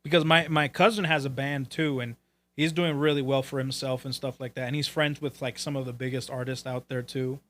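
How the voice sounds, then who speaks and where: A man's voice: medium pitch, steady tone, a little fast, a bit bright. A man from Puerto Rico chatting casually as a talk show host, with loose, profane phrasing. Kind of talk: casual chat.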